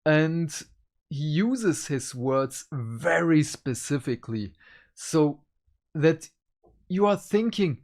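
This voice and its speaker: A host giving a casual review to viewers, speaking in an emphatic, deliberate way. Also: medium pitch, animated, darkish tone. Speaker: book reviewer and channel host.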